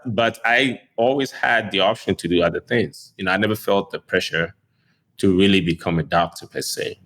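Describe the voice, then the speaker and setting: A middle-aged man from Ghana speaks in a very deep, low voice. He answers interview questions in a relaxed way, with plenty of "um" and "you know" and the odd chuckle. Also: normal speed. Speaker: neurosurgeon guest.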